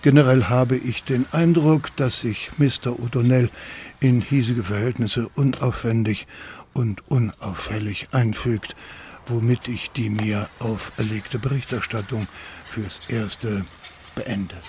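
A man acting as a fussy Parisian landlord delivers his informant's report in a radio play. He speaks slowly at a medium pitch, with stilted, prim formality, over faint background noise. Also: steady tone, a little mellow, close to an everyday voice. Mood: prim, disapproving. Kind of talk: radio play monologue, formal written report read aloud.

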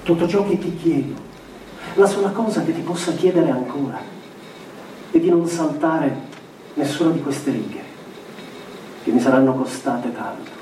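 An earnest, tormented man confessing himself in a stage monologue. The delivery is very deliberate and slow, with weighty pauses. It is heard from a distance in a roomy space, with faint noise. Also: a little high, lively intonation, mellow. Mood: earnest, pleading.

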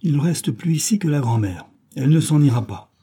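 A man's voice: a little high, expressive, brisk, warm tone, breathy edge, voice pushed up. A man voicing a wild, cruel little robber girl who talks in a fierce, teasing, bossy way.

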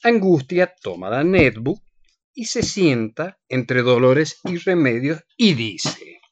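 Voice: medium pitch, sing-song, slow, no echo. A narrator reading the scripted stage directions of a radio play, on a dull, dry recording.

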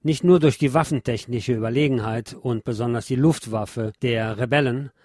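A man's voice: medium pitch, darkish tone, dry recording. Man narrating history, very dry close sound. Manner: formal, measured read delivery. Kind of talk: scripted historical documentary narration.

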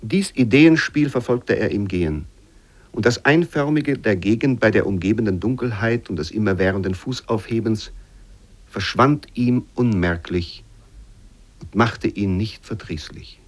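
Male radio-play narrator, fairly deep register, measured literary delivery, careful phrasing.

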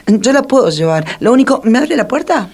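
An actress playing a suffering, gushingly polite young woman in a comic radio play, with an Argentine accent and husky, creaky voice. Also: fairly high pitch, very animated, brisk, a little mellow. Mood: polite, coy. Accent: Argentine, Rioplatense.

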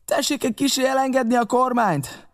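A man's voice: extremely high pitch, voice pushed up. A man voicing a dutiful, alert male crewman in an audiobook, reporting eagerly and deferentially. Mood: eager, urgent.

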